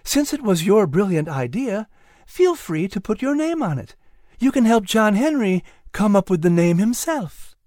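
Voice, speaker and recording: A woman voicing a wise, gentle female butterfly who speaks calmly. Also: medium pitch, moderate pace, no room sound, clean studio sound, close to an everyday voice. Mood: knowing. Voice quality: calm, knowing.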